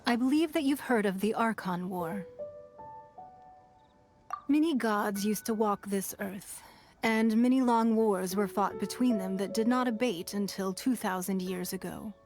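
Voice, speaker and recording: Woman acting authoritative noblewoman, poised, formal, measured, shrewd, mid-pitched, anime game dialogue, music behind. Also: a little mellow, lightly acted. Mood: composed, solemn.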